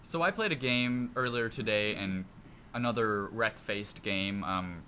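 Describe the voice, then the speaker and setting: Bright, forceful man, slightly low-voiced. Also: a little slow. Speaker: podcast co-host. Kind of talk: casual gaming podcast chat. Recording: faintly noisy amateur podcast recording.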